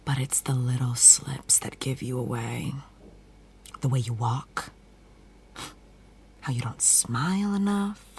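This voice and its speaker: Low, slow, defiant young woman. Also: a little mellow, slight background noise, full character voice. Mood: cold suspicion. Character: tense young woman, defiant sister confronting brother's possessor. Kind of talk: dramatic scripted acting.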